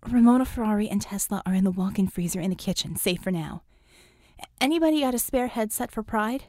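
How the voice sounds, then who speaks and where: A woman voicing a brisk, urgent female tech dispatcher for a superhero team in an audiobook, clipped and commanding. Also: medium pitch, animated, mellow, no echo, studio quality, lightly acted. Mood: tense, commanding.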